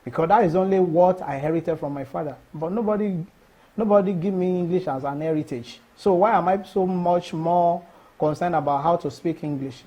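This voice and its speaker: A man's voice: high voice, brisk, mellow, husky, low-quality recording. A middle-aged Nigerian man whose first language is Yoruba, answering interview questions earnestly and emphatically, often restarting phrases. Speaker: cultural festival organizer.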